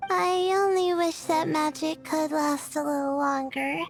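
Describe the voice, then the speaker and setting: A woman voicing a gentle, self-doubting young female student in a video game, hesitant and apologetic. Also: high voice, level tone, unhurried, gravelly. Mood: wistful. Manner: hesitant, apologetic, trailing and stammering. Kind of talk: English video game voice acting. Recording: faint music behind the voice.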